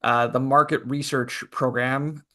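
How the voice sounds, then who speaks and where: Relaxed man giving interview answers. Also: medium pitch, a little slow. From Canada. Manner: relaxed, thoughtful, many fillers. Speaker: podcast guest, author.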